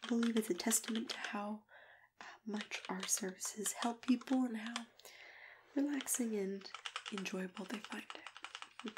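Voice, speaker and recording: A woman playing a kindly, attentive matchmaker in a soft, gentle ASMR roleplay, often dropping into whispers as she talks unhurriedly to a client. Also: medium pitch, a little slow, noisy background, close to an everyday voice. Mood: soothing, welcoming. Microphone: close ASMR microphone.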